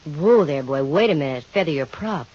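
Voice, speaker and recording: A woman's voice: low voice, animated, normal speed, a little mellow, slightly rough. Female private eye, dry wit, wisecracking, 1950 radio drama, muffled old recording. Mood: stubborn, breezy. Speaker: lead actress and narrator.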